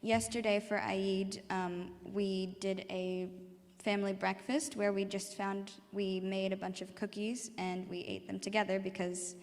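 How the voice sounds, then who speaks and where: A woman's voice: medium pitch, flat delivery, a little slow. A young woman giving a casual presentation, hesitant with many fillers. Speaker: student presenter. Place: chapel hall. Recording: long reverb in a hall.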